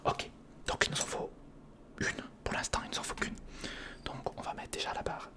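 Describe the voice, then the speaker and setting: A man whispering an informal ASMR narration of hands-on work, thinking aloud and softly repeating words, close to the microphone. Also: normal speed. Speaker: ASMR video creator. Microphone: close recorder or studio microphone.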